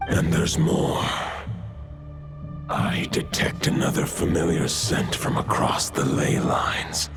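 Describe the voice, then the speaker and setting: An actor voicing an ancient great wolf spirit in a video game, grave and solemn, with a gravelly voice and formal, archaic phrasing. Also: male voice, a bass voice, wildly swooping pitch, slow, heavily acted. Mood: grave, ominous. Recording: loud background music.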